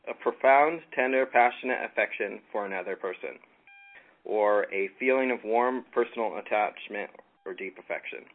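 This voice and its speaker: A man from California leading a casual Bible study, relaxed and halting with frequent ums. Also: lowish voice. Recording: tinny phone-like line with faint noise.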